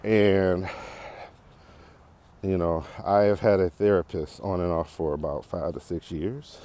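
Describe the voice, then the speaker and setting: A man's voice: low-pitched, steady tone, a little slow, warm tone. An American man giving a casual, unscripted podcast monologue, rambling and thinking aloud while out walking. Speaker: podcast host. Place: outdoors, walking on a path.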